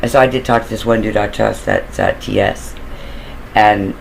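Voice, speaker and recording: A man with a low, deep voice and a rough, gravelly edge tells a story in a relaxed, wandering way. He drifts off on side tracks, trails off with fillers and lets a curse slip now and then. A faint hiss of noise sits under his voice. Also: normal speed. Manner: loose and digressive, with fillers and occasional swearing. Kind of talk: casual rambling storytelling.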